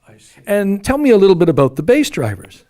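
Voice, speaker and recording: A man's voice: high voice, big pitch swings, fast talker, mellow. A man interviewing someone about a product, asking attentive questions and giving short acknowledgements.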